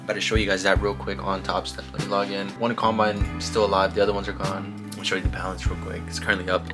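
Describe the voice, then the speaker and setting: A man's voice: low voice, flat delivery, fast, music in the background. A young man casually talking through his trading progress in a vlog, laid-back and repetitive, often saying "bro" and "you know". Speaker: day trading vlogger.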